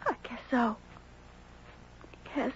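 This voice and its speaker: A woman playing an earnest, wistful young girl with halting, sincere speech in a 1970s radio drama. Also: a little high, expressive, slight background noise. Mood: plaintive, guilty. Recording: dull 1970s radio broadcast.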